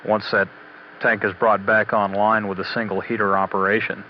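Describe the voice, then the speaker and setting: Low-voiced man on dull, noisy mission audio. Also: little pitch movement. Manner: halting, measured, matter-of-fact delivery. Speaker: spaceflight mission commentator. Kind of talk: unscripted technical status briefing.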